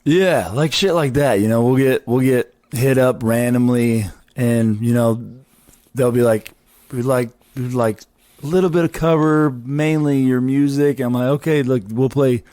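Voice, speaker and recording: A man with a Texan accent and a low voice, a laid-back musician guest talking casually and swearing. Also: measured pace, mellow, no echo. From Austin, Texas. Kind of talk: casual interview chat.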